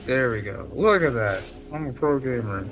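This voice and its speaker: A man's voice: mid-range voice, expressive, moderate pace. A man giving casual, offhand reactions while playing a video game, in short interjections. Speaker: gaming streamer. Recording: clearly noisy background.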